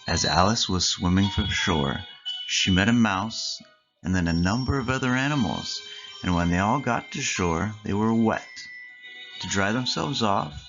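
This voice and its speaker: A storyteller reading a scripted children's story aloud in measured phrases with deliberate pauses. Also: low-pitched, muffled recording. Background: clear background music.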